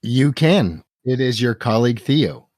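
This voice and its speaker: A man narrating a tabletop game as game master in a measured style. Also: medium pitch, big pitch swings, darkish tone, slight background noise.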